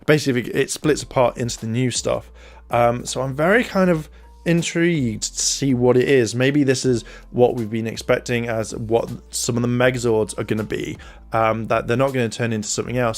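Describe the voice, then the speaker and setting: A man in his thirties giving casual first-look commentary to camera in a hesitant, rambling way, recorded close on a handheld recorder in a small room. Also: mid-range voice. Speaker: YouTube toy reviewer. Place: small indoor room at home. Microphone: handheld recorder close to the mouth.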